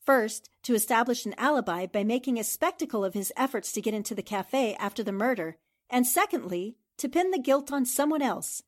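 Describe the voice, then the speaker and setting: A woman acts out a confident newspaper reporter turned sleuth in an old-time radio play. Her voice sits fairly high and moves in lively swoops. She explains things crisply and with total assurance. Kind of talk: 1940s radio drama acting.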